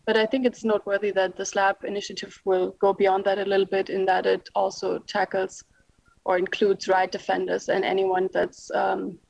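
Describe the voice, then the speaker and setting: A woman official walks through a policy plan in a careful, halting way. Her intonation barely moves, staying level and even. She is on a video call, and the sound is dry and close with no room echo. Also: mid-range voice, creaky, lo-fi sound. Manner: measured, with frequent pauses and filler sounds. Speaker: policy official at a panel discussion. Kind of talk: formal briefing answer.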